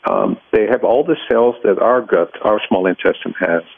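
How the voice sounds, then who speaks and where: Low, creaky middle-aged Dutch man. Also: normal speed, no echo, narrow, tinny audio. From Netherlands. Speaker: research scientist and laboratory head, interviewee. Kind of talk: explanatory interview answers.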